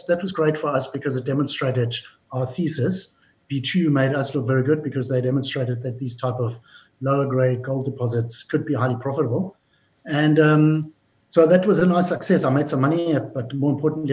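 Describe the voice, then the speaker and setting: A man's voice: mid-range voice, darkish tone. A man, a mining company executive, answering interview questions in a businesslike way and often restarting his phrases.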